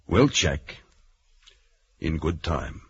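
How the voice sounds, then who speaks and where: An actor playing an unhurried, unbending police detective in a radio thriller, dry and matter-of-fact. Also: male voice, extremely low pitch, very animated, husky, full character voice. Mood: dry, firm. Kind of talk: old radio thriller acting. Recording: dull, faintly noisy radio recording.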